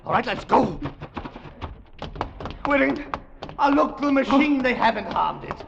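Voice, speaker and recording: An actor playing a bold, reckless scientist adventurer in a 1950s radio drama, urgent and commanding in short phrases. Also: male voice, very high voice, expressive, a little slow, creaky, exaggerated voice. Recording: noisy, echoing lo-fi old radio recording.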